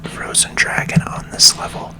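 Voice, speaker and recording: A person whispering gentle, unhurried and reassuring ASMR commentary while playing a video game, in a breathy, unvoiced voice. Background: video game music.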